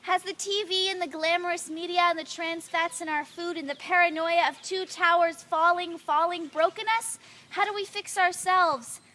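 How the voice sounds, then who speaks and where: A woman's voice: extremely high pitch, fairly even intonation, normal speed, pushed and bright, a little hiss or hum. A young woman reciting slam poetry in an earnest, rhythmic way, leaning on repeated phrases. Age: young adult. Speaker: student poet.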